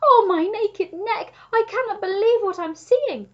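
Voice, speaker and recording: Woman voicing a genteel, high-pitched lady giraffe. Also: big pitch swings, normal speed, lo-fi sound. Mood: overjoyed, gasping. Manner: genteel, earnest, breathily emotional. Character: polite, gushing, famished female talking giraffe.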